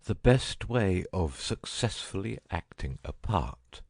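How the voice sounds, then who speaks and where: An actor playing a cunning detective with a husky voice in a dramatic audiobook reading. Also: male voice, deep voice, expressive, slow pace, darkish tone, no room sound, clean studio sound, heavily acted. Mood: composed, wry. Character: cunning male detective, first feigning a dying illness, later brisk.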